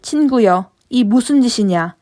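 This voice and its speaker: A woman reading the words of Jesus in a Bible passage, in her own voice, calm and measured. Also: on the high side. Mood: grave. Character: Jesus, calm grown man, grave and firm. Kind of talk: scripture reading.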